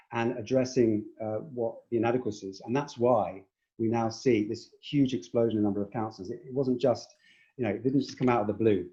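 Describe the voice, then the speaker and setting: A man's voice: lowish voice, mellow, dull audio. A man speaking as a leadership candidate at a hustings, earnest and hesitant, with many fillers. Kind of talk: hustings speech.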